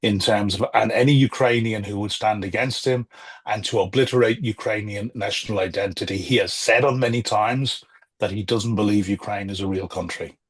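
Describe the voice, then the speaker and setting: A middle-aged man, a former diplomat, talking as a guest over a video call, measured and hesitant with many fillers and restarts. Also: on the low side, fairly even intonation, slightly rough. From Ireland or Britain. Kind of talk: remote interview, political commentary.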